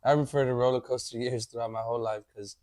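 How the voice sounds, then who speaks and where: A man in his thirties, a podcast guest, talking in a relaxed way and often adding "you know". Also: mid-range voice, fast talker, no echo, clean studio sound. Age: 30s. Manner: laid-back, leans on "you know" a lot. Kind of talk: casual chat.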